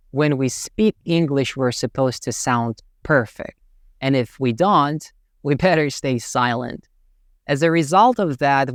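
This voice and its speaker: A man's voice: medium pitch, smooth, no echo, studio quality. A young man from Tatarstan with a Russian accent, hosting his channel and talking thoughtfully about learning English, with many fillers. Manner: thoughtful, with many fillers like "you know" and "right". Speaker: English teacher, channel host. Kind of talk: reflective talk to camera.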